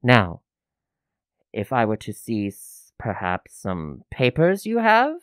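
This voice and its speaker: A man plays a greedy, wheedling innkeeper in a radio drama. He haggles at a slow, theatrical pace with very animated pitch, putting on fatherly affection. The sound is close and dry. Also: clear, resonant tone, dry recording, full character voice. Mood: calculating. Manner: oily, haggling, theatrically insistent. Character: greedy, wheedling male French innkeeper feigning fatherly love.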